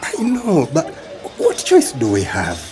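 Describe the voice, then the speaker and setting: A man's voice: on the high side, huge pitch swings, unhurried, over music. A man with a Ugandan accent acting an anxious, emotive husband in a radio drama. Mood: grave, pleading. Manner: emotive, full of interjections. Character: anxious husband awaiting news of his sick wife.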